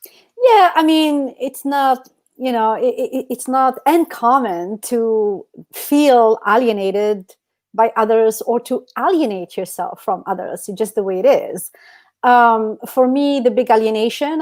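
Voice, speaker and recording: A woman's voice: a little high, animated, unhurried, a little mellow. A woman co-hosting a podcast, telling personal stories in a casual, emphatic, conversational way full of "you know". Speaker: podcast co-host.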